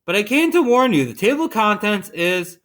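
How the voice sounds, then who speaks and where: Agitated fairy-tale narrator, male, acted by a storyteller, insistent, high and lively, repeating himself. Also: brisk. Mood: flustered urgency.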